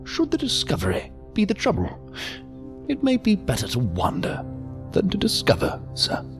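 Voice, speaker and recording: A man's voice: sits high, huge pitch swings, normal speed, exaggerated voice. An actor in an audio drama playing an odd, courteous, philosophical old man, speaking in a formal, ornate, theatrically sing-song way. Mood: cryptic, musing. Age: older. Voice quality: creaky, gravelly, breathy at times. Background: music.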